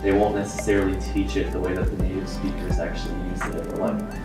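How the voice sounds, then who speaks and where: Young American man, very deep voice. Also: level tone, fast talker. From United States. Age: young adult. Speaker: student panelist. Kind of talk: casual discussion. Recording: echoey room, from far off, loud music behind.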